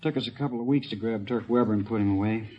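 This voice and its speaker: Muffled radio drama, actor playing practical male lieutenant, lowish voice, brisk, businesslike. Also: steady tone, lightly acted. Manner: plain, businesslike. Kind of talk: 1950s radio police drama acting. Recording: muffled, faintly noisy old radio recording.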